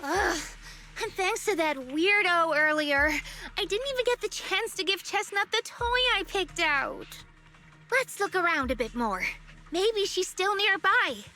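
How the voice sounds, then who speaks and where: An actress playing an earnest, whimsical young woman night watcher in a video game, expressive and affectionate. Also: very high voice, unhurried, a little hiss or hum, full character voice. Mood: grumbling, hopeful. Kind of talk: video game voice acting, story dialogue.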